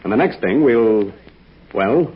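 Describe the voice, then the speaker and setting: A man playing a calm, steady heroic pilot in a 1940s radio serial, explaining things patiently. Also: fairly low pitch, slightly rough, full character voice. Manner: reassuring, deliberate, explains patiently. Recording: dull 1940s radio transcription.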